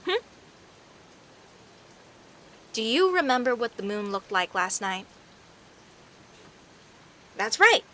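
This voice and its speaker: A woman plays a friendly, teasing young woman next door who is secretly a werecat. Her voice is fairly high and bright, and she speaks at a leisurely pace, addressing the listener directly as in an intimate audio roleplay. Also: very animated, heavily acted. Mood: indignant, defensive, then earnest, insistent. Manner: teasing, indignant, conversational with sighs and pauses. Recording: faint hiss and rain noise. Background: rain ambience.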